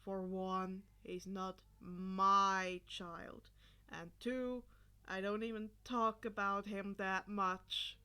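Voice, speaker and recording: A weary, reserved man in an audio story, performed by a woman. The voice is pitched down and unhurried. He sounds deadpan and nonchalant, keeping everything low-key. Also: smooth. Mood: defensive.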